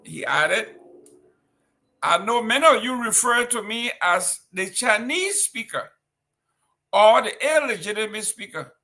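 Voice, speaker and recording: A man's voice: high voice, vocal fry, bright, forceful tone. A man with a Liberian accent, reading political news and then commenting on it with indignant, emphatic rhetorical questions. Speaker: talk show commentator.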